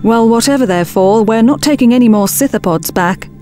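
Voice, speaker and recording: A woman acting a skeptical, practical expedition officer in a science fiction audio drama, brisk and matter-of-fact. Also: a little high, warm tone, lightly acted. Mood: firm, skeptical. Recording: music bed under the dialogue.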